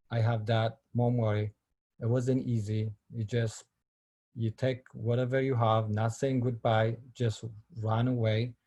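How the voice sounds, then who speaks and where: A middle-aged man from Ethiopia speaking halting with many fillers, giving a personal talk on a video call. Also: medium pitch, dark tone. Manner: halting non-native English with many fillers. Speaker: filmmaker and panel speaker.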